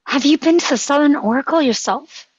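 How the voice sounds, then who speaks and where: A woman's voice: high voice, a little fast, dull audio, lightly acted. A woman playing an earnest, curious young boy hero in a script table read.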